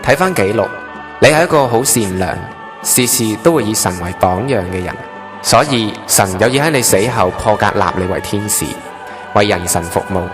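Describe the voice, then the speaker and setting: Male actor as a solemn chief angel, low voice, formal and authoritative, Hong Kong accent, radio drama, clear music underneath. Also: animated, moderate pace, close to an everyday voice. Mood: solemn, instructing. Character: solemn male chief angel briefing a newly made trainee angel. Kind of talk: Cantonese radio drama acting.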